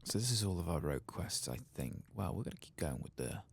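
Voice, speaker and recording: A man giving soft-spoken, often whispered ASMR game commentary in a gravelly, musing mutter. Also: sits low, very animated, fast. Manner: musing, muttering understated reactions. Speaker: ASMR streamer playing a video game.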